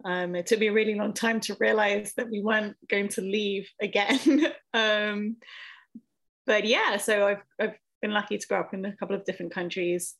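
A woman's voice: medium pitch, steady tone, moderate pace, vocal fry, fairly bright tone. A young woman from England, a podcast guest, talking casually with many ums and self-corrections as she thinks aloud. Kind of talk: casual interview conversation.

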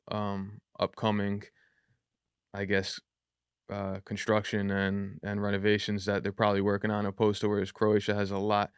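A man's voice: lowish voice, clean tone, dry recording, studio quality. A young adult man, a rapper as a guest on a podcast, talking loosely and at length with plenty of fillers. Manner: loose, chatty, frequent fillers. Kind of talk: casual interview talk.

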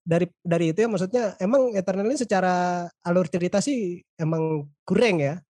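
A man's voice: sits high, brisk, warm tone, dry recording. A man with a colloquial Jakarta Indonesian accent chatting casually as a panelist, joking and given to exaggeration. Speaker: podcast panelist. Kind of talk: casual chat.

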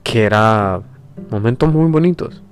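A young man from El Salvador with a very deep voice talks reflectively, pausing and restarting as he introduces his podcast. Faint guitar music plays quietly behind him. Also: animated. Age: 20s. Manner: reflective, hesitant, with pauses and restarts. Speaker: podcast host. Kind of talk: personal introduction monologue.

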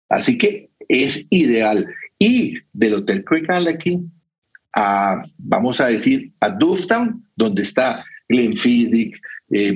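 A man from Costa Rica with a Costa Rican accent and a slightly rough, husky voice at a medium pitch. He talks conversationally, like a guest sharing travel advice, and peppers his storytelling with short tag questions. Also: low-quality recording.

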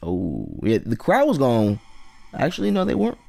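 A man's voice: medium pitch, wildly swooping pitch, normal speed, creaky, darkish tone. A man in his late twenties hosting a solo podcast, rambling through loose, profane casual commentary. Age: 20s. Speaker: podcast host.

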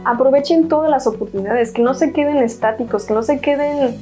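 A woman's voice: high-pitched, fairly quick, warm tone, faint music behind. A young woman from Hidalgo with a Mexican accent, telling her own story in a chatty, earnest way with many restarts. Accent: Mexican Spanish. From Mexico, Hidalgo state. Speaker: university graduate interviewee. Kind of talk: personal testimony.

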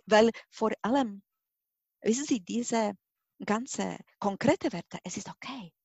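A woman's voice: a little high, slow pace, gravelly, a little mellow, breathy edge, no room sound. A middle-aged Czech woman with a Czech accent gives a personal account as an interview guest, searching for her words with emphasis. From Czech Republic. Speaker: interview guest, hypnosis and regression therapist.

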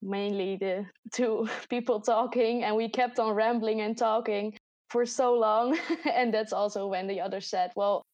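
A woman's voice: medium pitch, steady tone, measured pace, a little mellow, no echo. Dutch-accented woman, dry close sound. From Netherlands. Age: 20s. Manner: chatty, laughs often. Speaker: podcast co-host. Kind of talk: casual chat. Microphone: voice chat recording.

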